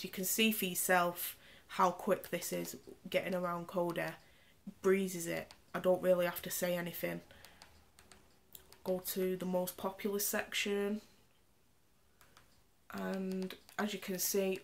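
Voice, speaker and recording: A woman reviews a gadget in a chatty, explanatory way. She sounds congested and a bit nasal from a cold. Her voice sits low and stays fairly flat. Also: measured pace, gravelly. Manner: chatty, explains specs while demonstrating. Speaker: tech reviewer. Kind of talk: casual product review. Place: indoor room, camera on the screen.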